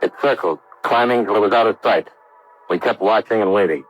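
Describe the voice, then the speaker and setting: A man's voice: on the low side, a little fast, husky, low-quality recording. An actor playing a hard-boiled American insurance investigator, narrating his case in clipped, matter-of-fact 1950s radio drama style.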